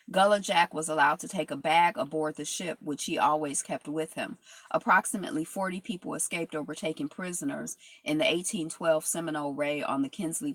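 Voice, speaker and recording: A woman's voice: deep voice, fairly bright tone, dry recording. A woman vlogger reading from a history text and rambling through reflective asides, with many fillers and restarts.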